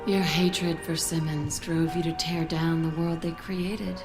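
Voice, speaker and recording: A woman's voice: sits low, level tone, a little slow. An actress playing a cool, sardonic, self-assured female spy in a video game, composed and condescending. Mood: reproachful. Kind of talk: video game cutscene acting. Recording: very loud music over game audio.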